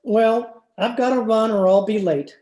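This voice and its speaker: A man's voice: sits high, unhurried, darkish tone. A man playing an exasperated, skeptical husband with a dry, sardonic manner in an old-time radio comedy. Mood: wary, sardonic. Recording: roomy, echoing home recording with noise.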